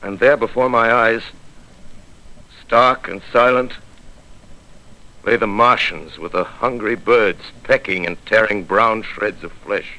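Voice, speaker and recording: An actor playing a solemn, weary scholar who survived an invasion, narrating in a grave, theatrical 1930s radio drama style. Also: male voice, on the low side, bright, forceful tone, exaggerated voice, poor audio. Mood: tense awe. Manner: grave, measured, theatrical, reflective.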